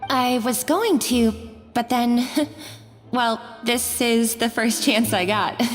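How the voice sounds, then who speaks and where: A woman playing an earnest, slightly bashful young cook with quiet determination in a video game. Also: on the high side, slow, long reverb tail, soft background music. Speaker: professional chef. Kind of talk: scripted video game voice acting.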